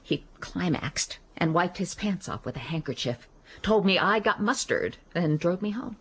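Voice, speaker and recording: An actress playing a guarded middle-aged American woman who gives halting, reluctant testimony in a naturalistic radio drama. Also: lowish voice, animated, a little hiss or hum, lightly acted. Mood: grim, matter-of-fact. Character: guarded, weary American woman witness recalling an assault.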